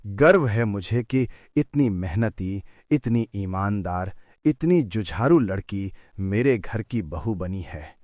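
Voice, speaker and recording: A man playing a proud, big-hearted, tipsy businessman father-in-law in an animated story, speaking in an emphatic, declamatory way. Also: fairly low pitch, slow, dry recording, clean studio sound. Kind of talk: animated story drama acting, speechmaking.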